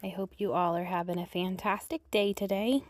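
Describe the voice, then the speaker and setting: A middle-aged woman with a raspy, hoarse voice from a cold, chatting casually with lots of ums while showing her house and porch. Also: medium pitch, fairly even intonation, brisk. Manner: chatty, rambling, frequent ums. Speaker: home vlogger. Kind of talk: casual home tour chat.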